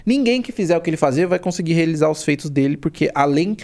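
A man's voice: on the high side, expressive, fast, darkish tone. A man with a Brazilian accent chatting casually as a podcast guest, explaining things informally with slang and repeating points for emphasis.

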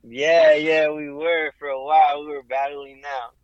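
A man in his thirties from Southern California, a podcast guest telling his faith story in a halting way, with many ums and restarts. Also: on the high side, little pitch movement, no room sound, highs cut off. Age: 30s. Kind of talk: casual faith testimony.

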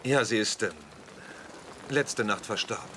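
A man's voice: on the low side, measured pace, lightly acted. A man playing a preoccupied, shaken adult in a German TV drama dub, speaking hesitantly in standard German. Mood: shaken, apologetic. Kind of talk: dubbed TV drama dialogue. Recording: very loud outdoor ambient noise.

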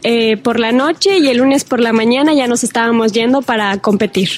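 A woman's voice: on the high side, moderate pace. A young woman with a Mexican accent, a student athlete answering interview questions casually and a little hesitantly. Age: young adult. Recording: faint background noise.

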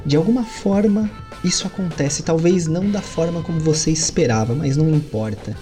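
A Brazilian man narrates a tabletop game as its game master, in a mellow, relaxed voice of middle pitch. He tells the scene with animated jumps in pitch, and faint music plays behind him. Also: fairly quick. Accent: Brazilian Portuguese. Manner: animated storytelling with sudden lifts in pitch.

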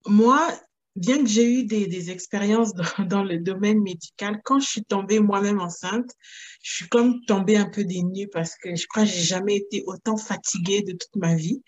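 A woman from Cameroon talks through her story in a relaxed interview. She hesitates often, restarts her sentences and searches for words. The recording sounds dull and lo-fi. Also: medium pitch, slightly rough. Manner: thoughtful, with frequent hesitations and restarts. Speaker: guest, founder of a maternal wellness business. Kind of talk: casual interview.

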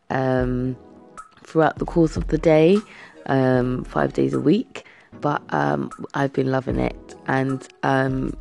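A young adult British youth worker chatting casually to camera, croaky from just waking up, earnest and full of fillers. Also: mid-range voice, darkish tone. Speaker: youth worker and gaming vlogger.

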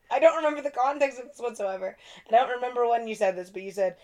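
A woman's voice: a little high, quick pace. Young woman, podcast co-host, loose and giggly, salty language. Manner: laughs often, swears casually. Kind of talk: casual chat.

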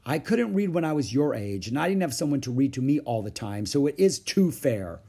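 Man playing an indignant, high-pitched schoolgirl big sister. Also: expressive, a little fast, dark tone. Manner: indignant, complaining, emphatic. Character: exasperated, indignant older sister, a school-age girl.

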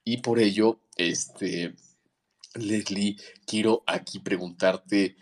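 Rambling low-voiced male host. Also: fairly even intonation, takes it slowly. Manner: rambling, self-correcting questions. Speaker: podcast host. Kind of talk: interview questions.

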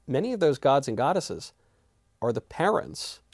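A man's voice: medium pitch, sing-song, normal speed, dry recording. Guy hosting a book chat, mid voice, curious, kinda stop and start framing his points, very dry sound. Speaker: interview host. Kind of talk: conversational book discussion.

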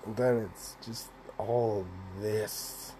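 Young man, deep voice, unhurried, meandering, self-deprecating, casual swearing, faint background hum. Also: flat delivery, dark tone. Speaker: podcaster and vlogger. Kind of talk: rambling solo vlog.

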